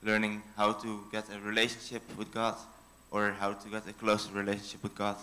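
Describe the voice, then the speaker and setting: A young man with a Dutch accent, giving a hesitant personal testimony with many pauses on a handheld mic in a church hall. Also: lowish voice, monotone, pushed and bright. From Netherlands. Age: young adult. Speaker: mission team member. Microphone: handheld microphone.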